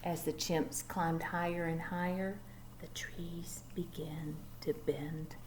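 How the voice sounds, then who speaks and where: Slow gentle woman reading to children. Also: sits low, level tone, a little hiss or hum. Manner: gentle, asks young listeners questions, drops to whispers. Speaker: teacher reading aloud. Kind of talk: storybook read-aloud to children.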